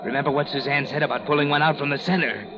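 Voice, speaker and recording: A man's voice: on the high side, fairly even intonation, racing, very noisy, lightly acted. An actor playing a sinister, all-knowing male narrator who taunts the listener in second person, in 1940s radio acting. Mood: taunting. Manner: taunting, addresses the listener as "you". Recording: dull old radio recording with music.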